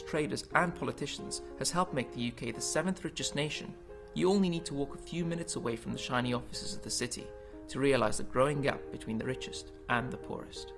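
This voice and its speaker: A man reads a measured documentary voice-over, his tone a little high for a man. Clear guitar music plays under his narration throughout. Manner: measured, informative.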